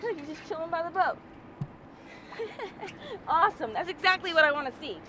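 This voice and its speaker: An American woman in her thirties chatting casually and excitably as a fishing host, recorded outdoors on a small boat. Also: extremely high pitch, moderate pace, a crowd in the background. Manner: excitable, exclamatory, informal. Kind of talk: casual vlog chat, explaining to viewers. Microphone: action camera at arm's length or a few feet away.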